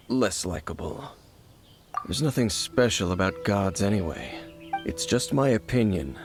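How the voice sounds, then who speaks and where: A deep, cryptic, aloof swordsman. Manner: calm, measured, cryptic. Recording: game audio with music.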